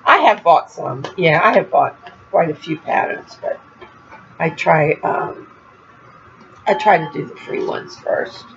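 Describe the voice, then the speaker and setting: A woman's voice: deep voice, lively intonation, unhurried, pauses often, muffled recording, slight background noise. An older woman from Florida chatting casually to her viewers as a crafting vlogger, rambling a little, with a stuffy nose and a slight cough. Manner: chatty, rambling, laughs at herself. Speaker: crochet vlogger. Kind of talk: casual chat to camera.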